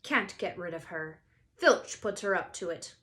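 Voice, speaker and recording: A woman voicing a big, gruff, friendly gamekeeper man with a blunt, rustic, hearty manner in a storybook read-aloud. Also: lowish voice, lively intonation, unhurried, pushed and bright. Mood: grumbling.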